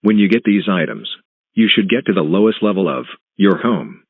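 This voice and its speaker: A man's voice: sits low, lively intonation, normal speed, breathy edge, no room sound. A man reading a scripted emergency broadcast announcement in a formal, stilted way. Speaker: announcer of news and emergency alerts. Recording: muffled phone-like lo-fi sound.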